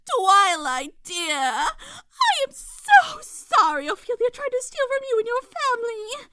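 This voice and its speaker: A woman's voice: very high-pitched, very animated, slow, very noisy, heavily acted. A woman voicing a dramatic, genteel fashionista pony mother with an affected, theatrical manner. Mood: apologetic. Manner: theatrical, affected, easily scandalised. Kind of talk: animated fan audio drama.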